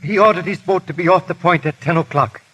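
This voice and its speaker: An actor playing a wary, frightened innkeeper who talks tersely and nervously in a 1930s radio drama. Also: male voice, on the high side, quick pace, fairly bright tone, breathy edge, low-quality recording. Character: wary, frightened male innkeeper, gruffly evasive. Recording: hissy vintage 1930s radio broadcast.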